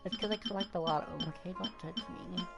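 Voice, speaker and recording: Low-pitched woman rambling over game music. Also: huge pitch swings, fast. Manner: rambling, thinking aloud. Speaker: game streamer. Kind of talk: casual gameplay commentary.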